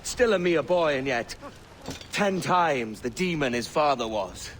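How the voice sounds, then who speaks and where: An actor playing a cocky, ambitious young pirate with a wry, colloquial swagger in a video game. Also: male voice, on the high side, animated, a little slow, a bit bright, slight background noise. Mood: cocky, scheming. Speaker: pirate captain. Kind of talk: naturalistic video game acting.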